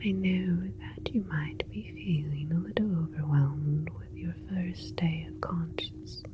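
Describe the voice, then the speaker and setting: A woman playing a soothing, clinical alien scientist in an ASMR roleplay, whispering with slow, deliberate, precise wording. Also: low voice, steady tone, highs cut off, background noise. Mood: soothing, reassuring.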